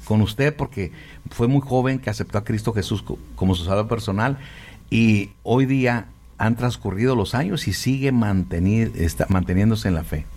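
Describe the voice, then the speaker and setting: Low-voiced man hosting a conversational interview. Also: moderate pace, a little mellow. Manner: attentive, interjects short responses. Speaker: radio interviewer.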